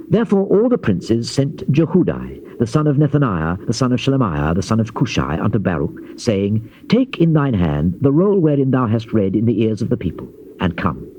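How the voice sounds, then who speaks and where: An actor playing a grave Old Testament prophet in a dramatized scripture reading, declaiming in measured phrases. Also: male voice, medium pitch, dark tone, natural voice. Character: solemn male Old Testament prophet recounting God's warnings. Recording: dull noisy old cassette tape.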